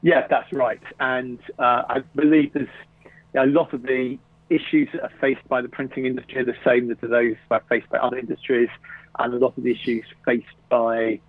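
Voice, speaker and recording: A man with an English accent, a guest consultant, answering interview questions in a measured, methodical way. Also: medium pitch, flat delivery, dry recording. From England. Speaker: guest, print sales consultant. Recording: dry, tinny phone line.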